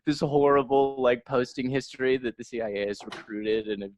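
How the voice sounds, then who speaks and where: A man's voice: medium pitch, moderate pace, a little mellow, slight background noise, poor audio. A man co-hosting a casual comedy podcast, riffing in slangy, profane chat. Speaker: podcast host.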